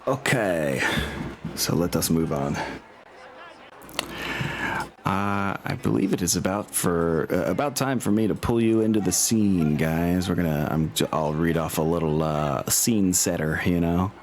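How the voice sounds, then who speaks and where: A man's voice: low voice, unhurried, a little mellow, slight background noise. A man narrating a tabletop role-playing game as game master, in an informal, improvised way.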